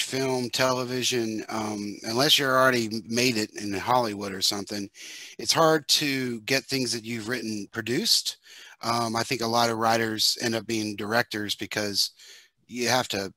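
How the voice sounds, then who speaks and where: American man, 40s, bright forceful voice, dry headset sound, informal panel guest. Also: mid-range voice, dry recording, low-quality recording. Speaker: writer-producer, guest panelist. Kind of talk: casual panel talk. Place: home room, indoors, video call. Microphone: headset with boom mic.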